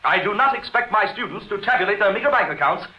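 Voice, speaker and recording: A dull, lo-fi old radio drama carries a stern professor of surgery. The man's voice sits a little high, and he speaks with imperious, formal diction. He comes across as secretive, brooding and impatient. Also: animated, fast talker. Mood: stern lecturing. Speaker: actor in a horror radio drama. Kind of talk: old-time radio acting.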